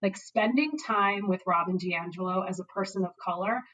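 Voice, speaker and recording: A woman's voice: mid-range voice, normal speed, fairly bright tone, poor audio. A woman whose first language is Bengali, a guest speaking emphatically and passionately in an interview, telling personal examples. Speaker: guest, diversity executive. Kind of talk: podcast interview.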